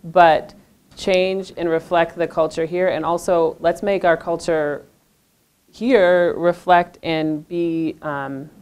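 A woman with a notably low voice speaks on a panel in a lecture room. She is earnest and searching, restarting her sentences as she works out her thoughts. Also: fairly even intonation, takes it slowly, a little mellow, smooth. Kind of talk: panel discussion.

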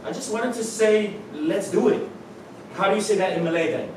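A man's voice: sits high, normal speed, lightly acted. A man playing an awkward, flustered eighteen-year-old in a staged reading. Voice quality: flustered, defensive. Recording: roomy theater stage, distant mic, noisy.

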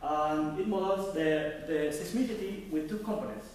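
A young man giving an academic conference presentation, halting and searching for words, often restarting his sentences. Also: a little high, darkish tone. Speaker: conference speaker. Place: conference hall. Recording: echoey hall from far off with faint noise.

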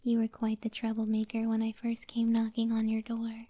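A woman's voice: on the high side, completely flat delivery, moderate pace, no room sound, close to an everyday voice. Woman as whispering, doting yandere moth girlfriend. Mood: coy, possessive. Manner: soft breathy whispering, sweet and cooing. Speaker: ASMR roleplay performer. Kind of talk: whispered ASMR roleplay. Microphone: close microphone.

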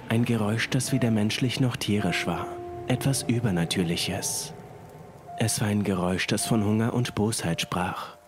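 A man narrating a scripted first-person horror story in a deliberate, suspenseful manner. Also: on the low side, a little mellow. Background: music and rain.

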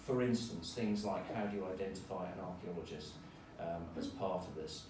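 Low-voiced man in an echoey room. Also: normal speed, darkish tone. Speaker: panel speaker. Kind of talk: panel discussion remarks. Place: lecture room, indoors. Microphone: far camera in the audience. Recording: echoey lecture room, distant mic, noisy.

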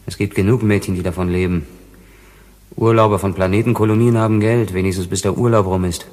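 A man acting in a German radio play as a gruff, distrustful, bitter worker on a space colony. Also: low-pitched, fairly even intonation, brisk, darkish tone, lightly acted. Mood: gruff, cynical. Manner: gruff, grudging. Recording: voice in long hall-like reverb.

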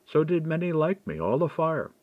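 A man's voice: on the high side, sing-song, moderate pace, dry recording, pitched above the performer's own voice. A man reading audiobook dialogue as a seasoned, frank and reflective man-at-arms. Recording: dull, lo-fi dry recording.

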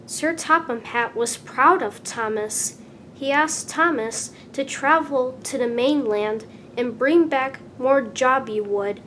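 A woman narrates a children's storybook in a high voice, taking her time over each phrase. Her delivery is expressive and deliberate. There is clear rumbling noise behind her. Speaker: storybook reader.

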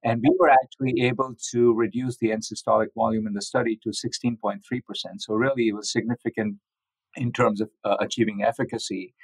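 A man giving measured, methodical explanations as an interviewed medical researcher. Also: mid-range voice, dry recording, poor audio.

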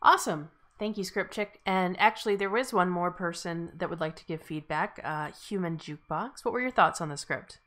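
A woman's voice: lowish voice, brisk, few pauses, a bit bright. A woman casually hosting a live online stream, addressing the participants and those watching. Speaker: host of an online table read.

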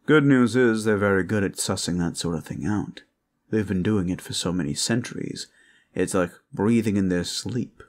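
A man performing an intimate audio roleplay as a protector, speaking in a reassuring, courteous, measured way. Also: on the low side. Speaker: roleplay voice actor, playing a gentle protector.

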